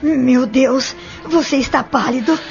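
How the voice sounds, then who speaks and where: Radio play casting: woman as anxious, devoted wife, high-pitched and gravelly, slightly dull sound. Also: unhurried, a little mellow, soft background music. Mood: worried. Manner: anxious, solicitous. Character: worried, caring wife fussing over her ailing husband. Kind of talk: audio drama acting. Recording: dull lo-fi audio drama recording.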